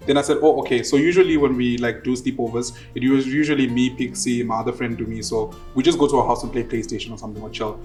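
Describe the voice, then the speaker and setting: A man in his twenties with a Southern African accent tells a personal story in a casual interview. He is an animated talker who slips into quoted dialogue. Soft guitar music plays underneath. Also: medium pitch, fast talker, hardly any pauses. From Zimbabwe and South Africa. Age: 20s.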